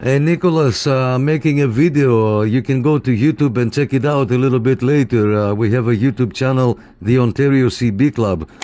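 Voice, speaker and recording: A man's voice: medium pitch, moderate pace, few pauses, mellow. Man on CB radio, noisy room. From Canada. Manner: repeats call signs and "roger, roger" in radio procedure. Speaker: CB radio operator and channel host. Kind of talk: casual radio contact chat. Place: room on a third floor with a window antenna.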